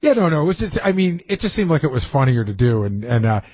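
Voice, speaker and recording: Male radio staffer, quick, slightly high voice, casual anecdotes with quoted dialogue, dry studio. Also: slightly rough, no echo. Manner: animated storyteller who acts out dialogue. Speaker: radio show producer.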